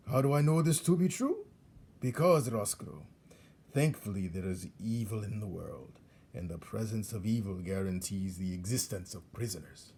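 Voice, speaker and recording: A man's voice: lowish voice, darkish tone, a little hiss or hum. A man voicing a very old, cruel male dungeon rat in a storybook, lecturing with relish and heavy stresses. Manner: lecturing, relishing each word with emphatic stresses.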